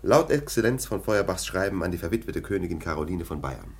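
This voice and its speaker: An actor playing a scornful, domineering police lieutenant in a German radio play, with a sardonic, dismissive manner. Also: male voice, sits low, lively intonation, brisk, a little hiss or hum. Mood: confidential, sardonic.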